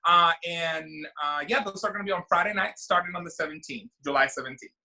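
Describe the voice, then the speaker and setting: A man's voice: on the high side, fairly quick, bright, forceful tone. A man, chatting as a guest in an interview, talkative with many fillers and restarts. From Venezuela. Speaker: drag queen and event producer. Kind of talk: casual interview chat.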